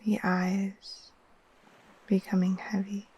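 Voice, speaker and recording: Very slow, soft-spoken woman guiding sleep meditation. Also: medium pitch. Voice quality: soft, breathy, half-whispered. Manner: soothing, gentle, unhurried with long pauses. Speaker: yoga nidra guide. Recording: faint steady wash of ocean waves.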